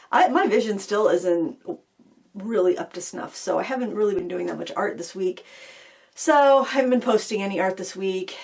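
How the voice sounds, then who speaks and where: A middle-aged woman hosting a craft channel, talking casually to camera with a slightly raspy voice after being ill, rambling with fillers and restarts. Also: mid-range voice, a little mellow. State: recovering from illness, a little raspy.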